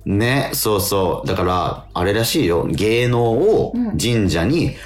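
A man co-hosting a casual radio show, telling anecdotes and making announcements in a halting way with lots of self-interjections. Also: fairly low pitch, expressive, soft background music.

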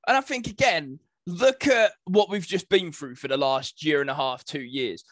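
A man's voice: a little high, normal speed, a bit bright, no echo. A young British man in casual podcast chat as co-host, informal and acting out imagined exchanges. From United Kingdom.

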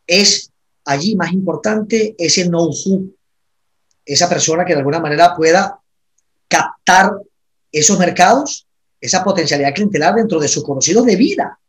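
A man's voice: sits high, animated, moderate pace. A man with a Venezuelan accent explaining things conversationally in an interview, posing questions and answering them himself. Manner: explanatory, uses rhetorical questions. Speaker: interview guest, consulting firm executive.

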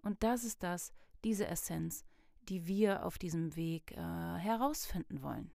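A woman's voice: fairly low pitch, measured pace, vocal fry, dark tone, no room sound, clean studio sound. A woman giving a casual self-help talk, reflective and thinking aloud with fillers, often acting out little inner dialogues.

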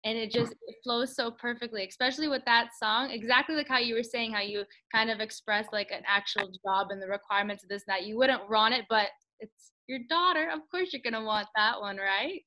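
A woman hosts a country music interview with a bright, slightly high voice. She chats eagerly, sums up her guest's answers, and throws in puns. Her delivery is lively and talkative. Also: brisk, hardly any pauses, poor audio. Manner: chatty and enthusiastic, fond of puns. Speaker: interview host. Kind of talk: upbeat podcast interview.

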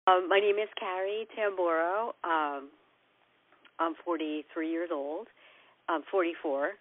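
A woman's voice: on the low side, fairly even intonation, measured pace, no echo. A woman in her forties with an American accent, casually introducing herself in an interview. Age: 40s. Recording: tinny phone-like line.

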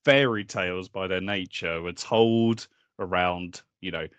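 A man's voice: sits low, measured pace, fairly bright tone, clear, resonant tone, no echo. A man co-hosting a podcast in casual comic banter, joking and swearing as he rambles along. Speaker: podcast co-host.

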